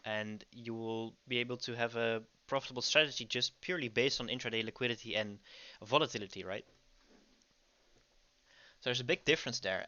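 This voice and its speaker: Dutch guy explaining trading stuff on a stream, lowish voice but bright and punchy, kinda muffled audio. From Netherlands. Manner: chatty, frequent ums and "right?" tags. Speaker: livestream host teaching trading. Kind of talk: casual livestream teaching.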